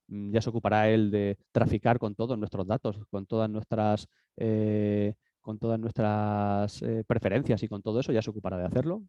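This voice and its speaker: A man with a cold gives an informal seminar talk over a video call from his office desk. His voice is low and mellow. He hesitates and restarts often, and the sound is dull and dry. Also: dry recording. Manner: hesitant, many fillers and restarts. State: has a cold. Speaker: speaker at a business seminar. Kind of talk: informal presentation.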